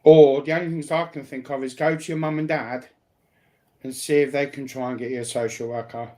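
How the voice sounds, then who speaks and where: A man's voice: mid-range voice, level tone, a little fast, dark tone. A man talking personally and bluntly, repeating phrases to drive his points home. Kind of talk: emphatic personal talk, partly confrontational.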